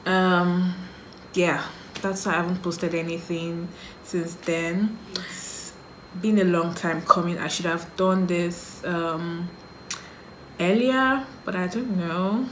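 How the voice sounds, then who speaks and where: Slow-talking Nigerian woman in an echoey room. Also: on the low side, slight background noise. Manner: relaxed, musing, answers her own questions aloud. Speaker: makeup vlogger. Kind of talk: casual get-to-know-me video. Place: small indoor room, sheet backdrop.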